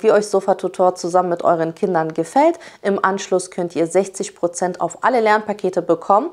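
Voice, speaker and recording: A woman's voice: mid-range voice, a little mellow. A woman in her thirties, a vlogging parent, reading a sponsor message in an upbeat, enthusiastic way. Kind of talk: scripted sponsor read.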